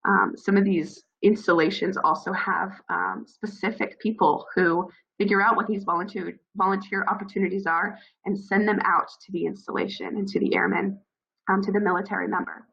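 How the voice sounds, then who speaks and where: Hoarse woman with a cold. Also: medium pitch, fairly even intonation, moderate pace, a bit bright, muffled recording. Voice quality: scratchy, froggy. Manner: earnest, encouraging, with frequent fillers. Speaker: speaker advising new military spouses. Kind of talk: informal advice talk.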